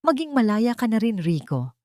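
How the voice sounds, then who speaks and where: A woman voicing a forgiving but resolute mother and wronged wife, speaking softly and firmly in measured phrases in a romance audio story. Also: a little high, big pitch swings, no room sound, studio quality, pitched above the performer's own voice. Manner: soft, measured, firm.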